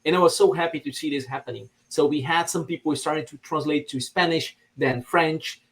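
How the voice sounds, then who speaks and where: A man's voice: a little high, brisk, fairly bright tone. A man from Brazil whose first language is Portuguese, speaking as a podcast guest with enthusiasm, often repeating words as he searches for phrasing. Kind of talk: casual podcast discussion.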